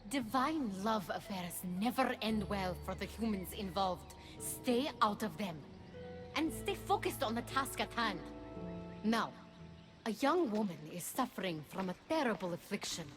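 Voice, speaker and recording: An actress playing a stern, commanding goddess-like mentor in a video game, measured and disdainful. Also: female voice, mid-range voice, expressive, music in the background, lightly acted. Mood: cold, scolding. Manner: imperious, measured, solemn. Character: stern, commanding divine female mentor, coolly disdainful of feelings. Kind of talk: video game cutscene acting.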